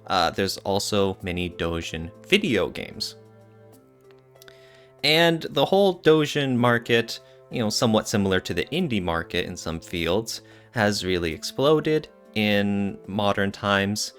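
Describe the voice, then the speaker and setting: A man's voice: fairly low pitch, very animated, measured pace, fairly bright tone, soft background music. A man co-hosting a podcast, explaining things in a casual, thinking-aloud way. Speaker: podcast co-host.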